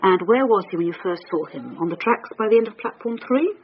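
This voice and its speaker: An actress playing a persistent, sharp-witted British police detective, firm and probing, in a radio drama. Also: female voice, medium pitch, animated, fast talker, muffled recording, a little hiss or hum, close to an everyday voice. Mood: probing, stern. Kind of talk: scripted radio drama acting.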